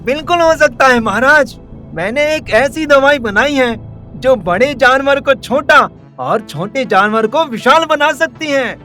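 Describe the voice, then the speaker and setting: An actor plays an excitable little doctor of the jungle who invents potions in a cartoon. The voice is high and eager, exclaiming and reassuring, with music behind it. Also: a little slow, exaggerated voice. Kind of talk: animated children's story dialogue. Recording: music under the voice.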